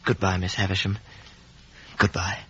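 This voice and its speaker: A man's voice: low voice, completely flat delivery, normal speed, pushed and bright. An actor playing an earnest, polite young man in a 1950 radio drama, both acting and narrating. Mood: subdued, respectful. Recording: muffled, hissy vintage radio recording.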